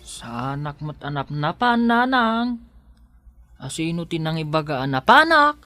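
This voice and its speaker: A playful Ilocano village daughter in a radio comedy. She teases and questions at a slow pace, with big swings in a slightly low voice. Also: sing-song, pushed and bright, dry recording, faint music behind, full character voice. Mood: defensive. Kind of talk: comedic radio drama acting.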